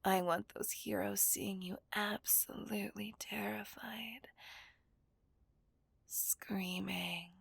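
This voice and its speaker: A woman's voice: medium pitch, steady tone, slow, creaky, a bit bright, husky, dry recording, exaggerated voice. A woman playing a dominant, taunting female villain in an ASMR roleplay, whispering breathily and possessively to a hostage. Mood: possessive, gloating.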